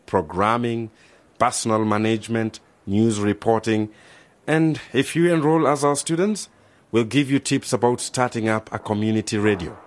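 Low-pitched, genial institute director played by a man. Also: natural voice. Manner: formal, patient, explanatory. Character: genial, helpful male director of a journalism institute. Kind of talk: radio drama acting.

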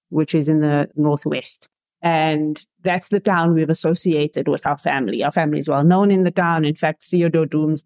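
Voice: medium pitch, a little mellow, no echo. An author, a guest on a podcast, recounting their family's history as a story. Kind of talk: conversational interview.

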